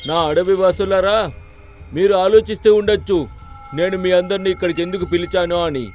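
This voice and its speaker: A boastful cartoon donkey playing at being king , acted by a man, in a high-pitched male voice. He speaks pompously and gives orders, with music playing behind him. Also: moderate pace, a little mellow, lightly acted. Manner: pompous, commanding. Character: bossy, boastful donkey posing as lion king. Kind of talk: children's cartoon story dubbing.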